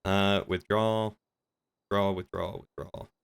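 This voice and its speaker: A man streaming a roleplay game, chatting casually and talking in character in a wry, measured way. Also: low voice, flat delivery, creaky, clean tone. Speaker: game streamer playing a crime boss.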